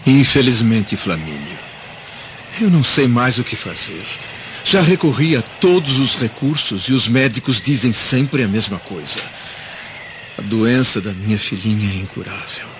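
A man playing a troubled, youngish Roman senator and anguished father in a radio drama, speaking gravely and dramatically. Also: medium pitch, animated, a little slow, close to an everyday voice. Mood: anguished, bitter. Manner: grave, dramatic, rhetorical delivery. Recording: dull, noisy lo-fi radio drama recording.

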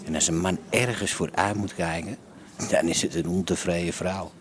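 Radio drama narrator: man as street-wise red-light insider, low register, wry, confiding. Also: fairly even intonation, moderate pace, crowd noise, close to an everyday voice.

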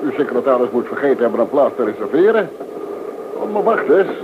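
An actor playing a polite, hesitant but stubborn train conductor in a Dutch radio play. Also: male voice, medium pitch, sing-song, fairly quick, lightly acted. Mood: apologetic, firm. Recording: noisy, tinny old radio recording.

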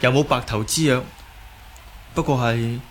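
Earnest young Cantonese hero over clear noise, acted. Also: male voice, medium pitch, fairly even intonation, unhurried, lightly acted. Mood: earnest, worried. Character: concerned, good-natured young martial arts hero. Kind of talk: Cantonese audio drama acting. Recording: clearly noisy background.

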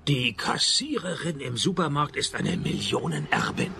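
An actor playing a sardonic, down-at-heel private detective who narrates and talks in a deadpan manner in a radio play. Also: male voice, fairly high pitch, moderate pace, noisy background. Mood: wry, resigned.

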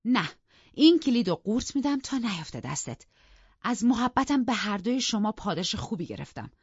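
Fiery, scornful young wife, high-pitched, acted by a woman. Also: very animated, breathy edge, no echo, dull audio. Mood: furious, reproachful. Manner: heated, reproachful, taunting.

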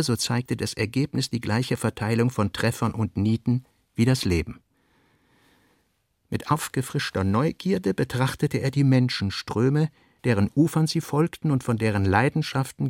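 A man reads literary narration for a radio drama, measured and deliberate. His voice sits in the middle range and is recorded close in a dry studio. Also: mid-range voice, no room sound. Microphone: dry studio mic.

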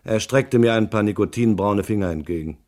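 Man playing a low-voiced, hardboiled private detective. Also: fairly quick, a little mellow, natural voice. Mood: dry narrating. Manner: terse, dry, unimpressed. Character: hardboiled, laconic male private detective narrating his case. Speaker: actor narrating and playing the lead. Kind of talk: hardboiled detective radio drama.

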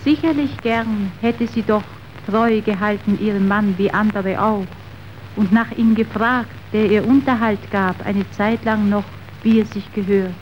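An actress playing a compassionate, righteous young woman in a radio drama, declaiming solemnly and deliberately. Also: medium pitch, steady tone, lightly acted. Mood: solemn, indignant. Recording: dull, noisy old radio recording.

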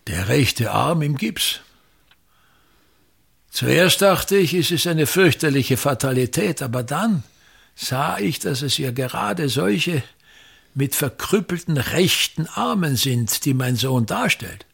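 Bitter old theatre boss, slow husky declaiming, grandiose, radio play. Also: male voice, fairly high pitch, animated, a bit bright, full character voice. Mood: pompous, aggrieved. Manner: declamatory, grandiose, emphatic repetition. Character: pompous, embittered old touring actor-manager, endlessly ranting.

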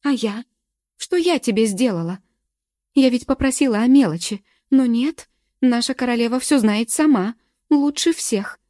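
A woman reading a distraught young woman who shouts accusations through tears. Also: fairly even intonation, quick pace, no room sound, studio quality. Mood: accusing. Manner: shrill, accusing.

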